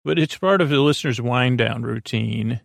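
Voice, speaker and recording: Creaky-voiced man rambling softly toward bedtime. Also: medium pitch, no room sound, studio quality. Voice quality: creaky, croaky. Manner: meandering, halting, stammering, self-interrupting; soothing, lulling. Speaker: podcast host. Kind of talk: rambling bedtime podcast monologue.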